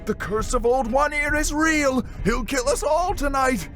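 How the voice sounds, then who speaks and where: A man's voice: extremely high pitch, big pitch swings, unhurried, a bit bright, faint music behind, voice pushed up, full character voice. A man voicing a frightened, desperate young deckhand boy who pleads in archaic nautical language. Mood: panicked.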